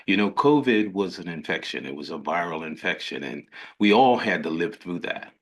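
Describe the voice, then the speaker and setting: A man's voice: low voice, animated, moderate pace, a bit bright, husky. An older man hosting a medical webinar, talking in an effusive, rambling way with many restarts. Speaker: host, physician.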